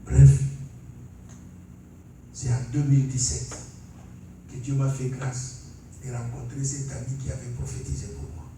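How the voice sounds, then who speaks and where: Congolese preacher in an echoey hall. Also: male voice, medium pitch, flat delivery, unhurried, pauses often, recorded from a distance, background noise. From Congo (DRC). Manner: calls for amens, laughs while preaching. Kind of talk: sermon with testimony. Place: large church hall.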